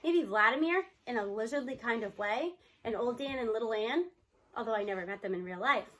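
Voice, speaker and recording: A woman reading a children's novel aloud in an expressive storybook style, acting out the dialogue. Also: a little high, fairly quick. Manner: animated, acts out the characters' dialogue.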